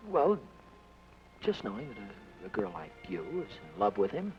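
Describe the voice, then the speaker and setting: A man's voice: fairly low pitch, wildly swooping pitch, moderate pace, soft background music. An actor playing Denny, a timid and nervous young man who stammers, babbles and blurts things out, in 1940s radio-play style. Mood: hopeful. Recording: dull old radio recording with faint hiss.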